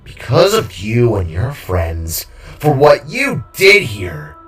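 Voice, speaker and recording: Man acting a bitter, mocking villain king, drawn-out delivery. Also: mid-range voice, huge pitch swings, fairly bright tone, distant mic, full character voice. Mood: gleeful, menacing. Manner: groggy, drawn-out, rambling, hissing monologue. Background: music.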